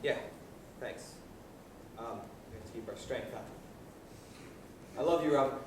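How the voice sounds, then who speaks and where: A man's voice: medium pitch, measured pace, close to an everyday voice. A man playing a self-absorbed, casually callous husband in a student stage comedy. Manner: offhand, casual. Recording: echoey hall, distant mic, noisy.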